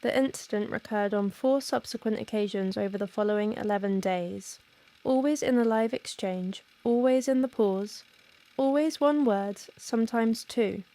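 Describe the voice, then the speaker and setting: A woman's voice: mid-range voice, normal speed, dark tone, close to an everyday voice. A woman playing a rational researcher in a horror audio drama, giving recorded testimony in formal, careful, clinical language. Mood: controlled, uneasy. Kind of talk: scripted found-audio horror monologue.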